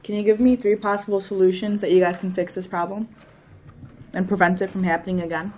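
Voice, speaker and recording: A firm, formal teenage girl mediating a dispute played by a female student. Her pitch is average for a young woman and her manner is procedural. Some low room noise is audible. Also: steady tone, fast, darkish tone, natural voice. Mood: businesslike. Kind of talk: scripted role-play dialogue. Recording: noisy room ambience.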